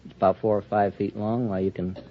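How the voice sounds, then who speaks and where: A man's voice: fairly low pitch, flat delivery, brisk. A man answering as a radio quiz contestant, unscripted, literal and a little hesitant. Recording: old radio, muffled, noisy audience.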